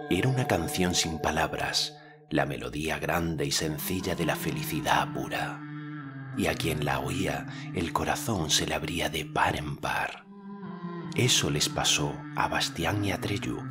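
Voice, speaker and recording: Narrador de voz muy grave. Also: male voice, rumbling low. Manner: slow, calm, deliberate. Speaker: audiobook narrator. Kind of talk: audiobook narration. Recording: music bed under narration.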